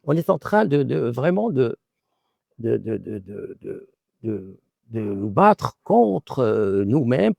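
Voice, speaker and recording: A middle-aged Moroccan-born man whose first language is Arabic speaks in a deeply gravelly voice. He answers an interviewer thoughtfully, circling and repeating words as he works out his point. The recording is dry, with no room sound. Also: very animated, slow pace, a little mellow, no echo. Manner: thoughtful, repeats words while searching for phrasing. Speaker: author and professor.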